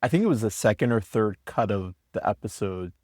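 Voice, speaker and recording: Earnest low-voiced man co-writer. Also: expressive, fairly quick, darkish tone, no room sound, clean studio sound. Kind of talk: casual chat.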